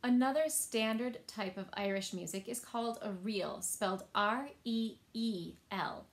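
A woman's voice: a little high, a little slow. Woman, thirties, children's story time presenter. Simple, explanatory delivery, recorded at home about a metre from the mic. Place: small indoor room at home.

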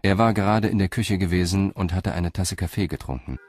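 A man narrating a scripted crime story as a radio drama narrator, in a sober, measured manner. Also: deep voice, over music.

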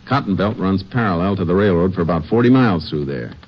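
A dull, noisy old radio recording of a very deep-voiced Texas Ranger. He is calm and methodical, reasoning in short folksy phrases. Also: quick pace. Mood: calm, deducing. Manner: laconic, folksy, clipped sentences. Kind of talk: old-time radio detective drama acting.

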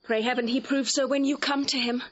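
Woman playing a pert, high-voiced waiting-maid. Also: fairly even intonation, fairly quick, muffled recording, a little hiss or hum, natural voice. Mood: sceptical. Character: pert, practical young waiting-maid, teasing and sceptical. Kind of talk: classical Shakespearean audio drama.

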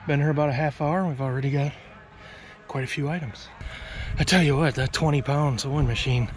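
A man's voice: on the high side, fairly even intonation, brisk. A man hosting a reseller vlog, chatting casually and teasing his companions in a playful way. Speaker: vlog host, auction reseller. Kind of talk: casual chat, joking remarks to companions and camera. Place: outdoors at an estate auction. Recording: noisy outdoor handheld, traffic noise.

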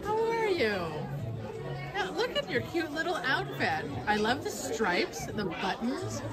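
Giggly woman vlogging in a loud buffet. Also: high voice, sing-song, a little slow. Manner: playful, giggly, chatty with costumed characters. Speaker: food vlogger. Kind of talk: casual restaurant vlog chat. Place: busy indoor buffet restaurant. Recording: loud music and crowd behind.

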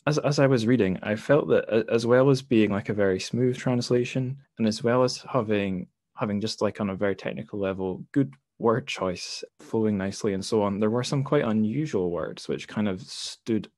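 A man's voice: lowish voice, normal speed, hardly any pauses, darkish tone, no echo, studio quality. A man hosting a podcast interview over a video call, casual and hesitant, with many fillers and restarts. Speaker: podcast host. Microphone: home mic on a video call.

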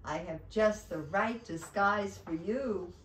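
A woman's voice: medium pitch, takes it slowly, echoey room, a little hiss or hum, close to an everyday voice. Woman playing jolly old Santa Claus. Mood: kindly. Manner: hearty, amused.

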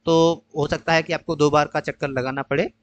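A man patiently walking viewers through an online counselling process in a screen-share tutorial, pausing often with "uh". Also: fairly high pitch, steady tone, no echo, lo-fi sound. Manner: patient, explanatory, mixes English phrases with frequent "uh" pauses. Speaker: tutor explaining a college allotment process.

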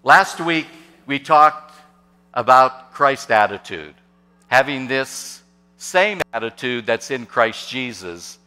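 Older male pastor, bright, forceful voice, stop-start delivery with lots of "uh", preaching in a big echoing sanctuary. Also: mid-range voice. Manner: hesitant, many fillers and restarts, asks the congregation questions. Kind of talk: sermon. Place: church sanctuary, large and indoors. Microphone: podium microphone on a gooseneck. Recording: long church reverb.

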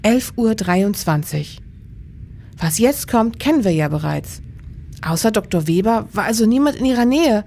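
A woman's voice: medium pitch, lively intonation, normal speed, gravelly, darkish tone, a little hiss or hum. A woman acting in a crime radio play as an attentive, supportive police investigator. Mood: alert, questioning. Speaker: radio drama actor.

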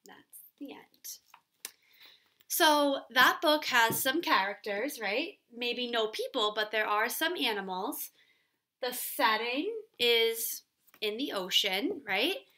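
A woman in her twenties, a teacher reading aloud and explaining a story to children in a deliberate way. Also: fairly high pitch, bright, forceful tone. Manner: deliberate, explanatory, checks in with "right?". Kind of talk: children's read-aloud lesson. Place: small room at home, indoors.

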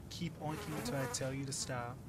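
A man voicing a harsh, domineering, mocking woman in an ASMR roleplay, swearing scornfully with drawn-out emphasis. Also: fairly even intonation, close to an everyday voice. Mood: contemptuous, commanding. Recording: loud buzzing insect noise, low rumble. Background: buzzing flies sound effect.

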